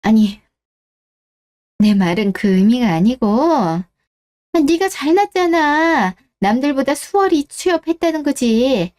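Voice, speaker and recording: Radio drama mother-in-law, played by a woman: older, domineering, indignant, raised pitch, forever scolding. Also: sing-song, slow, a little mellow, exaggerated voice. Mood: defensive. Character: domineering, scornful older mother-in-law, overprotective of her son. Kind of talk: melodramatic radio drama dialogue.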